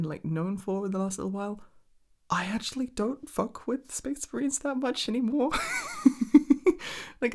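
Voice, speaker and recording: A woman with a slightly low voice talks in a casual, close and intimate way, as if rambling to one listener. She is informal and sweary, giggly and self-deprecating in how she puts things. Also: warm tone. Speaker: ASMR content creator and roleplay performer.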